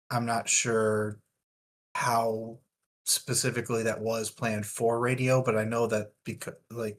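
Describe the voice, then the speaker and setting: A man talking casually in a book discussion, analytical and wordy, feeling out his phrasing as he goes. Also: lowish voice.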